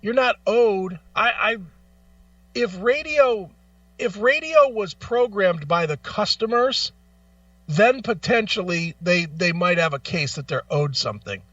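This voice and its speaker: A man's voice: high voice, lively intonation, unhurried, a bit bright, no echo, far from the mic, dull audio. A man hosting a talk show in an opinionated rant, blunt, profane and argumentative, repeating phrases for emphasis. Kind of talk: opinionated music talk, ranting.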